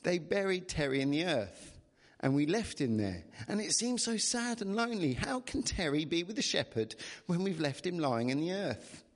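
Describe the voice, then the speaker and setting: A man's voice: mid-range voice, animated, measured pace, creaky, darkish tone. An earnest, grieving child from a storybook , read by a man in a grown-up voice. The delivery is plaintive and troubled, pleading to be understood. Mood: tearful protest.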